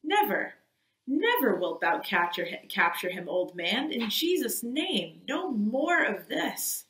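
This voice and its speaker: A woman's voice: a little high, big pitch swings, takes it slowly, fairly bright tone, voice pushed up, heavily acted. A woman reading an audiobook, voicing a god-fearing male first mate who implores urgently, in an echoey room. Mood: pleading.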